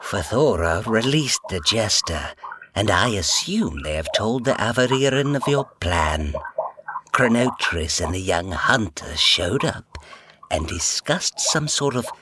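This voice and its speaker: Obedient henchman for fantasy audio drama, slow and formal, with a very deep voice. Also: sing-song. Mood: deferential, grave. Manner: formal, deferential, deliberate. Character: obedient, sinister spy minion reporting to his master. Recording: noisy background bed.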